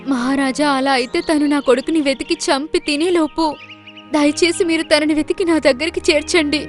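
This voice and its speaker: Woman as a doe mother, cartoon, high voice, pleading, respectful, soft music underneath. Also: steady tone, a little fast, close to an everyday voice. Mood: distressed, pleading. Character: distressed pleading mother deer. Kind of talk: Telugu children's cartoon voice acting.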